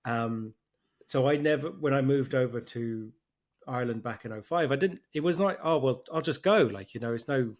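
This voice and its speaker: A middle-aged man who grew up in Germany, hosting a podcast at a middle pitch. He talks in a loose, chatty way, often restarting his sentences. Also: normal speed. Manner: chatty, many restarts and fillers. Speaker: podcast host. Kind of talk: casual interview conversation.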